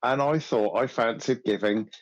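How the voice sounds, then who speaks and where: Hesitant podcast co-host. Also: male voice, mid-range voice, normal speed, dull audio. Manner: hesitant, restarts often, relaxed. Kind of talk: casual gaming podcast chat.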